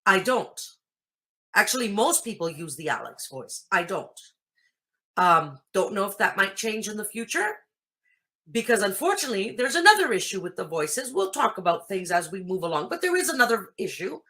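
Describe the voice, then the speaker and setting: A woman's voice: mid-range voice, sing-song, normal speed, bright, forceful tone. A woman whose first language is Greek, hosting a casual computer tutorial and chatting through each step as she thinks aloud. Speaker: host.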